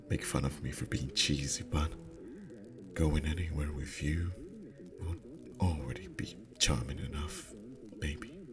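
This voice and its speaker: Very deep, soft-spoken boyfriend played by a man. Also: full character voice. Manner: soft, intimate, slipping into whispers. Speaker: voice actor. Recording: faint ambient background noise.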